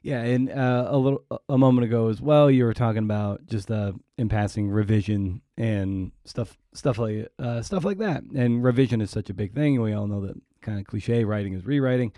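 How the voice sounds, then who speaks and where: A man's voice: mid-range voice, normal speed, hardly any pauses, mellow, dry recording, studio quality. A man interviewing a guest as a podcast host, casually working his way toward questions in a rambling, hesitant manner.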